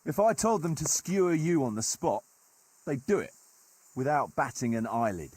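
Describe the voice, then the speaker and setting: An actor playing a gruff, wry medieval nobleman turned mercenary leader in a video game, telling stories in colloquial, old-fashioned turns of phrase with a husky voice. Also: mid-range voice, big pitch swings, moderate pace, darkish tone, no room sound. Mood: wry, admiring. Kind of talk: dramatic storytelling in a video game cutscene.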